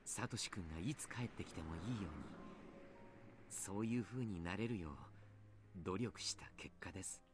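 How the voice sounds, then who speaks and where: An actor playing a gentle, courteous adult mentor with formal, sincere phrasing in a Japanese visual novel. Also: male voice, sits low, fast talker, natural voice. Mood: solemn.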